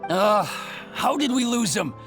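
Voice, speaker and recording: A man's voice: high voice, animated, measured pace, creaky, over music, full character voice. A man playing a bumbling, baffled hired mercenary in a video game. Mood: confused. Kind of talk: video game character acting.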